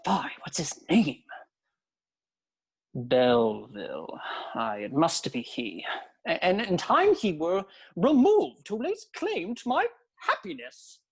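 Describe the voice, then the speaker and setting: Hot-blooded noble suitor played by a woman, period audio drama. Slow, grand delivery with big swoops in pitch. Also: very animated, husky, exaggerated voice, low-quality recording. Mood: resolute, brooding. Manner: grand, declamatory, archaic speech. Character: ardent, hot-tempered young Spanish nobleman in love.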